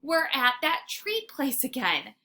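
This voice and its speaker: A woman reading a children's book aloud like a teacher, with dramatic, expressive storytelling. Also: sits high, slow. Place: informal homemade recording. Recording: faint background noise, homemade recording.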